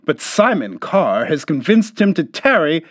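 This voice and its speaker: A man's voice: fairly high pitch, big pitch swings, a little slow. A man playing a showy announcer who narrates a serial audio drama. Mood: theatrical, welcoming. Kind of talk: scripted episode narration.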